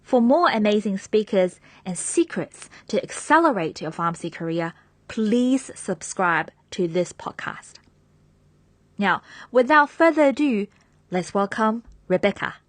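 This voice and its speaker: A woman's voice: medium pitch, sing-song, a little slow, dry recording. A woman hosting a podcast, reading an upbeat introduction and asking her guest questions. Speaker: podcast host.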